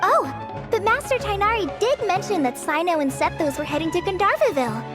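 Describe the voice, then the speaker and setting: A woman voicing a modest, friendly young female forest ranger with soft nervous laughs in a game dub. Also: very high-pitched, animated, a little fast. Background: game music.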